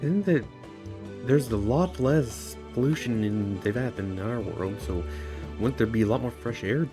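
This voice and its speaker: A woman's voice: very deep voice, lively intonation, moderate pace. A woman giving casual commentary while streaming a video game. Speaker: gaming streamer. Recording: game music behind voice. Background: video game music.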